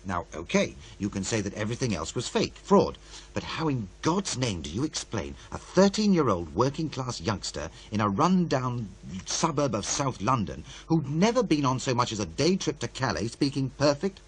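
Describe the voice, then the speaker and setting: A man's voice: medium pitch, very animated, moderate pace, slightly rough, low-quality recording. An actor playing a wary, world-weary male producer in a radio play, blunt, sardonic and conversational. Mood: insistent. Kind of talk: naturalistic radio play dialogue.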